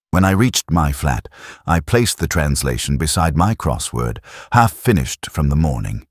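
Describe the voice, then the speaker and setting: A man playing a brooding, lonely police inspector who narrates a mystery drama in a measured, literary, reflective way. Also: extremely low pitch, no echo, clean studio sound, exaggerated voice. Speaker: narrator of a detective story. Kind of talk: scripted first-person mystery narration.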